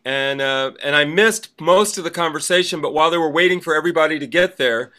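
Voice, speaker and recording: A man's voice: a little high, fast talker, bright, forceful tone. A man chatting casually as a podcast co-host. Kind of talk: casual chat.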